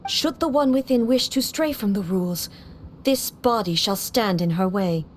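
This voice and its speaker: A woman's voice: mid-range voice, expressive, moderate pace, a little hiss or hum, natural voice. A woman playing a solemn, resolute, regal immortal warrior in a video game, speaking in a formal, grave way. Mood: stern, imperious. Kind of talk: dramatic video game voice acting.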